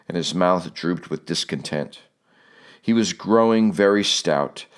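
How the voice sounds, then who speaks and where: A man reading a literary audiobook as scripted narration. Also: low-pitched.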